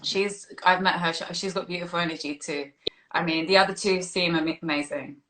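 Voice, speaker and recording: Bright-voiced woman, chatty interviewer. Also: lowish voice, steady tone, fairly quick. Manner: giggly, chatty, easygoing. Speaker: interviewer, host of the live stream. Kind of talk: casual live-stream interview chat. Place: remote social media live video stream.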